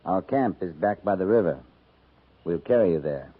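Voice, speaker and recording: An actor playing a laconic, steady middle-aged cavalry captain in a 1950s radio western, speaking in terse, dry lines. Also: male voice, deep voice, normal speed, slightly rough. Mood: steady, curt. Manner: terse, dry, matter-of-fact. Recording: muffled, noisy 1950s radio recording.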